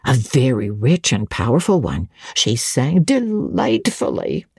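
Woman voicing a low, husky, genteel elderly housekeeper. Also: huge pitch swings, a little mellow, no echo, studio quality, full character voice. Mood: fondly admiring. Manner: measured, reminiscing, savouring details. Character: elderly, genteel, gossipy housekeeper, admiring and chatty. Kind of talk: dramatic audiobook reading of classic literature.

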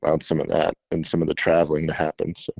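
A shy young man from middle Tennessee, a fishing YouTuber, answering interview questions in a self-described monotone with many fillers. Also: a bass voice, quick pace, gravelly, no room sound, highs cut off. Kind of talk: casual interview.

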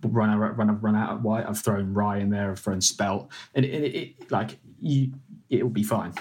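A man's voice: on the low side, fairly even intonation, normal speed, a little mellow. A young man, a food editor and ex-baker, explaining casually with lots of "like" and restarts. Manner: rambling, hedging with "like" and restarts.

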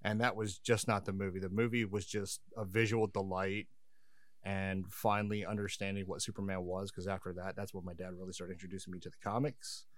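A man's voice: deep voice, flat delivery, brisk, no room sound. A man appearing as a podcast guest, telling stories in a friendly, reminiscing way. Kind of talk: casual conversation.

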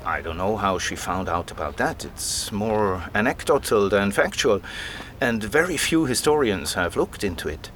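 A man's voice: lowish voice, very animated, moderate pace, a bit bright, slight background noise. An actor playing a keen, patient male scholar who explains things like a storyteller in an audio drama. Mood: thoughtful. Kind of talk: conversational audio drama dialogue.

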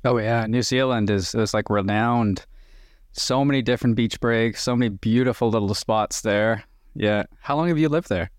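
A man hosts a podcast in a chatty, enthusiastic way and is quick to agree. His voice sits at a middle pitch. The close mic sounds dry. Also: no room sound. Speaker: podcast host. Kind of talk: casual podcast interview.

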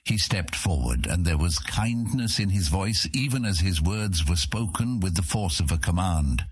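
A man's voice: sits low, lively intonation, normal speed, slightly rough, no room sound, studio quality. A man narrating a fantasy audiobook in a dramatic, scripted style.

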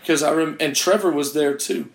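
A man hosting a podcast in casual, profane chat, hoarse after losing his voice, rambling and laughing at his own bad luck. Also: on the high side, moderate pace. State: hoarse from a lost voice. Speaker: podcast host. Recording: echoey room.

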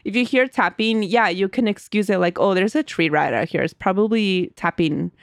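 Casting: chatty, giggly woman co-host, close dry mic, mid pitch. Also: normal speed, dry recording. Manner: giggly, chatty, reads aloud with asides. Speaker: podcast co-host. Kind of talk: casual chat and reading listener stories.

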